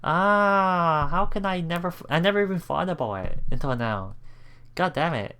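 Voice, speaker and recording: A man's voice: a little high, animated, normal speed, sharp tone, clear, resonant tone. A man hosting a let's play, reading visual novel text aloud and adding casual, reactive asides. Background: game music.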